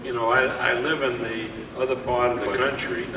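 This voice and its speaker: An older man with a New York accent, a boxing promoter reminiscing slowly at a press conference with many pauses. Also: medium pitch, steady tone, crowd noise. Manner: slow, reminiscing with many fillers. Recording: echoey hall, far from mic, noisy.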